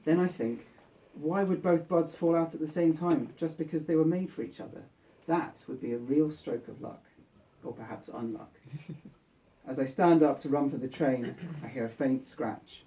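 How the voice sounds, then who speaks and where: Guy reading his short story out loud at a live reading, soft mellow tone, mic kinda far off. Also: a little high, moderate pace. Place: bookshop book launch event. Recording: distant mic in a live room.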